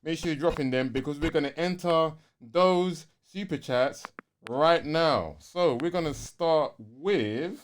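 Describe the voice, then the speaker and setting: A man with a London accent co-hosting a gaming podcast in casual, slangy, animated chat. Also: on the high side, a little slow. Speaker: podcast co-host.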